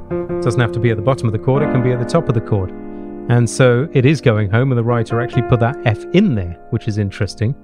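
Middle-aged man, mid-pitched, informal music teacher, thinking aloud, short check-in questions, close studio mic, piano playing behind. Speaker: piano teacher, host of online jazz lessons. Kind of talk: informal spoken music lesson, thinking aloud while demonstrating. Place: small home study with bookshelf, indoors. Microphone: studio mic on boom arm close to the mouth.

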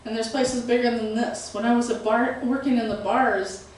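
A middle-aged woman preaching a sermon in a small church room, with a big, carrying voice and lively gestures, recorded without a microphone. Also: fairly high pitch, normal speed, slight background noise. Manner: loud voice that carries, animated and gesturing. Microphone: far camera, no microphone. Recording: very echoey room, voice heard from a distance.

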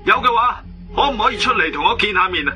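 A man's voice: sits high, expressive, quick pace, music in the background. An actor playing a desperate, trapped man calling out in a Cantonese radio drama.

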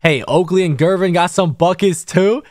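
A man's voice: sits high, moderate pace, a little mellow, no room sound, clean studio sound. A man giving casual, slangy gameplay commentary in an incredulous manner. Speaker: gaming YouTuber.

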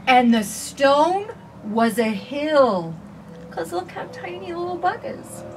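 A woman in her forties reading a picture book aloud for children with expressive, sing-song phrasing, recorded outdoors on a porch. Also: high-pitched, takes it slowly. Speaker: children's storytime reader. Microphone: camera a few feet away. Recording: distant camera mic, faint outdoor noise.

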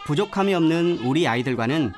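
A man's voice: fairly high pitch, lively intonation, fast talker. Man narrating a travel film over music. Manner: gentle reflective storytelling. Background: background music.

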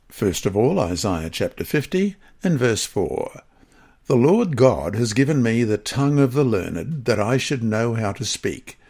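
A man with a husky voice reads a scripted devotional lesson aloud in a measured, careful manner. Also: mid-range voice, a little mellow. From Australia. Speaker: lesson reader.

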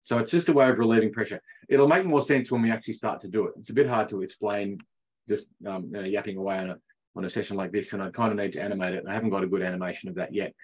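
A middle-aged man with a husky voice talks through hockey rules over a video call, chatty and easygoing. The audio is dull and lo-fi, like a laptop mic. He chuckles now and then at his own lines. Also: fairly low pitch, fairly even intonation, very fast. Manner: chatty explainer who laughs at his own jokes. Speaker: ice hockey coach. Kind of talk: online rules session.

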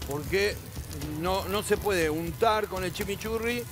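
A man's voice: high-pitched, measured pace. A middle-aged man with an Argentine accent, a chef judge on a TV cooking show, giving instructive, practical advice to the contestants. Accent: Argentine Spanish. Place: TV studio kitchen set.

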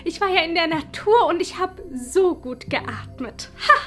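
A young woman playing a gushing, self-absorbed esoteric flatmate, effusive, theatrical and rambling. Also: very high voice, a little slow, soft background music. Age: young adult. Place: furnished living room indoors.

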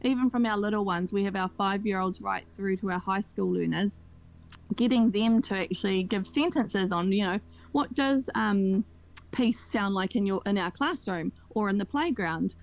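A woman's voice: medium pitch, poor audio. A woman speaking as a school staff guest in an interview, explaining things earnestly and conversationally.

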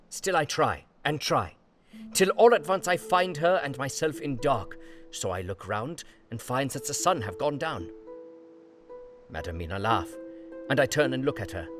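An earnest man reads a journal aloud in halting, foreign-phrased English. His pitch sits in the middle range. A clear music bed plays under him throughout. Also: fairly bright tone. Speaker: narrator reading an elderly professor's journal. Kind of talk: audio drama reading in broken English.

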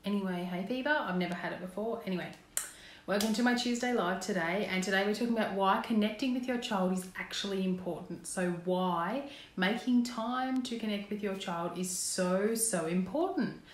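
A woman in her thirties with an Australian accent and a slightly croaky voice, a parenting coach talking earnestly to viewers in a casual live stream, asking them questions. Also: mid-range voice, moderate pace, slight background noise. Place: indoors at home, small room. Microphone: phone camera, close framing. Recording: roomy echo in a home room.

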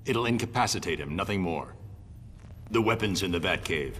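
A man's voice: animated, normal speed, fairly bright tone, a little hiss or hum. An actor playing a grave, calculating male rebel leader in a video game cutscene. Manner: low, clipped and grave. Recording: roomy reverb.

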